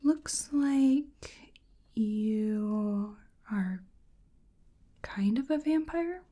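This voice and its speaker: A woman speaks in a gentle ASMR roleplay voice that often slips toward a whisper. Her tone is mellow and fairly high, with a very breathy edge. She plays a polite, bewildered researcher, murmuring hesitantly to an unseen listener. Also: very slow. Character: bewildered, polite female researcher examining a creature. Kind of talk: soft-spoken ASMR roleplay.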